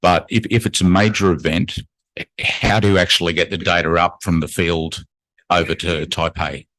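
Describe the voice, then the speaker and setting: A man in a conference audience asking a speaker technical questions, halting and restarting as he goes. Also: low-pitched, fairly even intonation, a bit bright, no echo. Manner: halting, restarts with filler words. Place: conference room.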